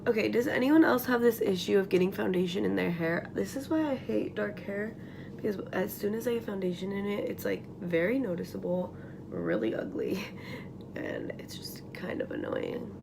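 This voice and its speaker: Raspy young woman, rambling makeup chat. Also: mid-range voice, animated, normal speed. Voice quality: raspy morning voice. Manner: rambling, lots of likes and ums, trailing into whispers. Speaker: beauty vlogger. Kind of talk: casual makeup tutorial chat. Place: at home, getting ready.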